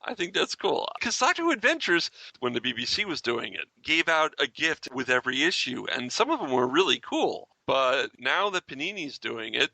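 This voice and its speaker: A man's voice: mid-range voice, sing-song, normal speed, vocal fry, few pauses, sharp tone, dry recording, poor audio. A man hosting a casual fan podcast, chatting with co-hosts. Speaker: podcast host.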